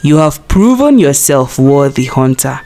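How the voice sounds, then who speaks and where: A woman's voice: very deep voice, very animated, a little slow, warm tone, faint music behind, voice pushed down, full character voice. A woman voicing a solemn, wise forest spirit in a storybook tale, with stately proclamations. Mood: solemn, approving. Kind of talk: storybook character reading.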